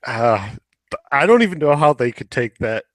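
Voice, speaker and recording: A man's voice: medium pitch, sing-song, normal speed, fairly bright tone, dry recording. Blunt man chatting in a dry recording. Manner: informal, blunt, opinionated, swears. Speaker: podcast co-host. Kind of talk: casual chat and commentary.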